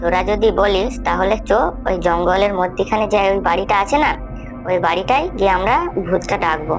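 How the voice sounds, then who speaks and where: A woman's voice: medium pitch, fairly quick, lightly acted. A woman voicing a young village boy in a cartoon horror story, speaking colloquial dialogue in a pleading, coaxing way. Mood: persuading. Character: young village boy, one of three friends. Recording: music bed behind the voice.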